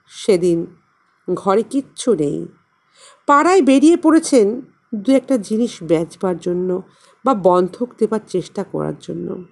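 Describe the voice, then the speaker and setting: A woman narrating a literary story expressively, in a measured way with dramatic pauses. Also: mid-range voice, a little mellow. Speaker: audiobook narrator and channel host.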